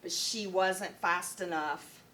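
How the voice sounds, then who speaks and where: A woman teacher reading a picture book aloud to young children in an expressive, deliberate storytelling manner. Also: lowish voice, slight background noise. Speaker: first grade teacher.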